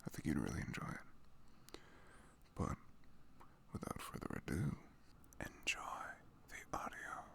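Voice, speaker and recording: A man speaking softly and breathily in a low voice, making an ASMR-style announcement. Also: wildly swooping pitch, unhurried, vocal fry, pauses often. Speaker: ASMR audio creator. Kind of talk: soft spoken ASMR announcement.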